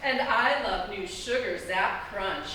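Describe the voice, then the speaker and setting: Perky ad mom, acted by a woman: high, bright, blithely chipper, parody commercial in echoey theatre. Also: slow pace. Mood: chipper pitching. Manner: rattling off lists in chipper pitch. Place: live stage in a theatre. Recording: echoey theatre, distant mic, faint noise.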